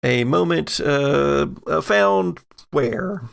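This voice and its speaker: Male game master, unhurried and fry-edged, dramatic narration with offhand asides. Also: a little high. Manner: dramatic storytelling mixed with casual asides. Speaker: game master of an actual-play podcast. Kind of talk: narrating a tabletop roleplaying game.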